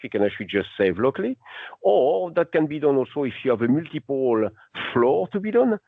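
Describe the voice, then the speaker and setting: Male product demonstrator, non-native English speaker, gravelly fry, explaining steps over a narrow, phone-like line. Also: medium pitch, no room sound. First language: not English. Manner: instructional, step by step. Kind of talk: unscripted software demo walkthrough.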